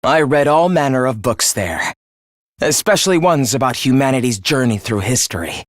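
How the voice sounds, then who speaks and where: A man's voice: mid-range voice, lively intonation, normal speed, no room sound, clean studio sound, natural voice. A man acting a volatile, theatrical young student. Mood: bitter, contemptuous. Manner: theatrical, articulate, swinging from earnest to cold contempt. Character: young male student, earnest surface, cold misanthropic killer beneath. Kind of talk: dramatic anime-style video game voice acting.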